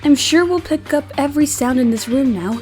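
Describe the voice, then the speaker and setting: A woman plays a small, timid teenager in an anime fan dub. The voice is high and bright, meek and hesitant, anxious but gentle in its questioning. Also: expressive, a little fast, soft background music. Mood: nervous, puzzled.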